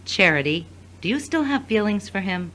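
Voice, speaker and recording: A woman acting in an audio drama as a pious friend giving advice, reassuring, gently firm and a little preachy. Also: mid-range voice, very animated, normal speed.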